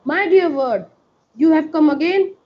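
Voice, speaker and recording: Young princess, kind and eager, played by an amateur, very high voice, careful reading, dull video-call sound. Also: unhurried. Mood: tender. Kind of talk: amateur online radio skit, read from script.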